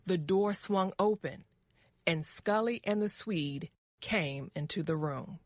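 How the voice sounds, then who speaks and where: A woman narrating a short story for English learners with deliberate, careful phrasing. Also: on the low side, no room sound, clean studio sound.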